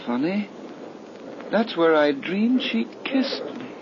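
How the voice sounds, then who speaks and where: A man's voice: high-pitched, big pitch swings, slow, exaggerated voice. An actor playing a drowsy, weakened young man who is wasting away, in 1930s radio horror style. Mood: weak, puzzled. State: drowsy and weak. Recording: noisy, muffled 1930s radio recording.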